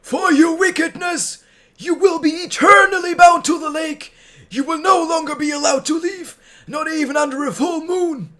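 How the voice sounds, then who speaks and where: Man voicing a furious old ice king, booming, very high and bright, stern. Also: lively intonation, a little slow, hardly any pauses, full character voice.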